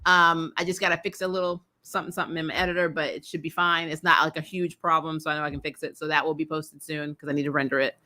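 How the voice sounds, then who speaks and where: Female livestream co-host, bright forward voice, casual and rambling, talking straight to the audience. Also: low-pitched, little pitch movement, fast talker, hardly any pauses. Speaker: co-host of a fan debate livestream. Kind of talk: casual chat to livestream viewers.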